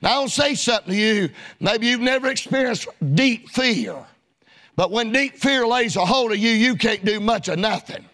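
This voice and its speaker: High-voiced shouting preacher. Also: male voice, normal speed. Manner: shouting, rhythmic preaching with frequent amens. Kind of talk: fiery sermon. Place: church.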